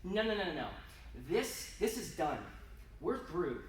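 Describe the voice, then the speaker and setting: A man's voice: fairly high pitch, sing-song, measured pace, voice pushed up. A man voicing a bitter, scornful, fed-up middle-aged wife in a live story reading, harsh and mocking. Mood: furious, final. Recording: roomy, distant, noisy live event recording.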